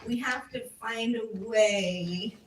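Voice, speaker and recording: A woman's voice: on the high side, takes it slowly. An American woman giving a civics talk, answering questions from the audience in a candid, chatty, self-deprecating way. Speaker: civics education speaker. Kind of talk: casual Q&A at a community talk. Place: community meeting room. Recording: echoey room from a distance, faint noise.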